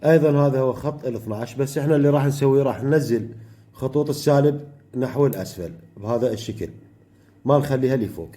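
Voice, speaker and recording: A man with an Iraqi Arabic accent teaching an electronics workshop step by step, pausing often mid-phrase to find the next word. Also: medium pitch, mellow. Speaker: electronics tutor.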